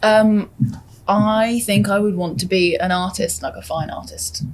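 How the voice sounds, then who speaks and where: A woman's voice: mid-range voice, steady tone, unhurried, low-quality recording. A woman, a guest performer, chatting casually on a podcast in a light, modest way. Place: outdoors on a riverboat.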